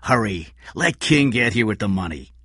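A man reading a story and voicing a weary, hardened criminal who talks low and quiet. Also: animated, normal speed, breathy edge, no echo, clean studio sound, full character voice. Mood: anxious.

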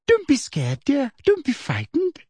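A man's voice: extremely high pitch, wildly swooping pitch, unhurried, darkish tone, no echo, studio quality, pitched above the performer's own voice, exaggerated voice. A man voicing a simpering, falsely sweet woman official with a cruel streak underneath, as an audiobook character. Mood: sugary.

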